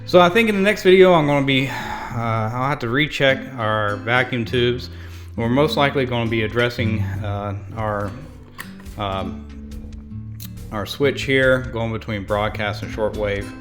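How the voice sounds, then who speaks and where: A man with a medium-pitched voice narrates a hands-on repair vlog. He explains things informally and without a script, rambling from one point to the next as he hosts. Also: measured pace, fairly bright tone, faint music behind. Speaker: host of a radio repair channel.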